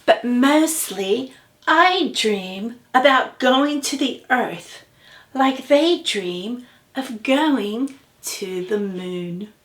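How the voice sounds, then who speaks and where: Woman playing a slow, high-voiced dreamy little moon girl. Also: expressive, fairly bright tone, full character voice. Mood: dreamy, eager. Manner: drawn-out, deliberate storybook phrasing. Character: dreamy, eager little moonling girl from a picture book.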